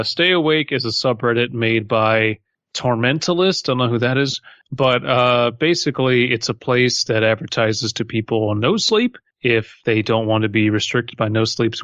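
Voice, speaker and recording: A man's voice: medium pitch, a bit bright, dry recording, highs cut off. A man co-hosting a podcast, casually bantering and reading stories aloud in a blunt, profane manner. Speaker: podcast co-host.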